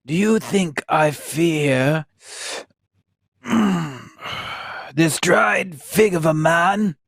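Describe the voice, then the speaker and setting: A defiant young hero, played by a man in a science fiction radio drama. The voice sits high and delivers its lines deliberately, with bold, theatrical scorn. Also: animated, creaky, a bit bright, no room sound, studio quality, full character voice. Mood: scornful. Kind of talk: sci-fi radio play acting.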